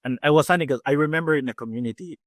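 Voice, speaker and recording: A man in his forties from Peru with a Peruvian Spanish accent, Spanish being his first language, chatting as an interview guest, talking fast with many restarts and fillers. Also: on the high side, dry recording, clean studio sound. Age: 40s. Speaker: guest dentist and faculty. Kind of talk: casual interview chat.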